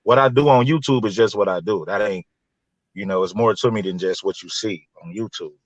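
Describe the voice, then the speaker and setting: Muffled american guy from LA, mid pitch, dry sound, rambling casual youtuber chat, repeats himself. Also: brisk, dry recording. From Los Angeles, California. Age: 30s or early 40s. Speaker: YouTube content creator, guest. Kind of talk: casual conversational interview.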